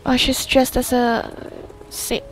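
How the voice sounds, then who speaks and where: A young woman reacting casually to a video game, with murmured asides and expressive exclamations. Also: high-pitched, slow, a little hiss or hum, poor audio. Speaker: gaming video creator. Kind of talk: casual spoken reactions to gameplay. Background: faint game music.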